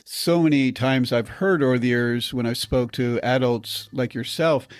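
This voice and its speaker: Older male host, halting and repetitive. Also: medium pitch, steady tone, smooth, dry recording. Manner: halting, repeats words when starting sentences. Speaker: podcast host, nurse. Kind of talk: podcast interview.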